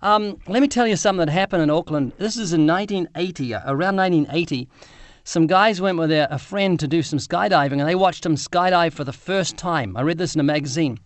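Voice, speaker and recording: A man with a New Zealand accent and a high-pitched voice. He chats with a stranger on the street, telling a story and stumbling over repeated words. He sounds friendly and talkative. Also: brisk, no room sound. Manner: chatty, storytelling, repeats words while searching. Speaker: street evangelist, show co-host. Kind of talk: street witnessing interview.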